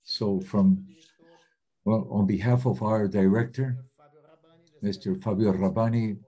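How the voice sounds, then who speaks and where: A man with a low, mellow voice interpreting a webinar talk. He speaks in a steady, measured way with an airy, husky edge, and pauses now and then as he works. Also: lo-fi sound. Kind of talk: simultaneous interpretation of webinar talks. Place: remote webinar.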